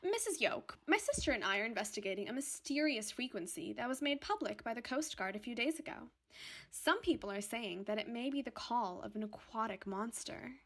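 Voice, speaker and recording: An overdramatic woman hosting a paranormal podcast in a radio drama. Her voice is on the high side and swings widely in pitch. She is theatrical and self-important, yet easily flustered. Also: expressive, fairly quick, fairly bright tone. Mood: perky, eager.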